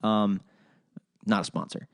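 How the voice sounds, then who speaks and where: A man's voice: fairly low pitch, steady tone, measured pace, gravelly, no echo, clean studio sound. A young man from Ohio with a Midwestern accent, hosting a solo podcast in a rambling, profane, casual way. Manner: rambling, profane, full of fillers and restarts. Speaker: podcast host.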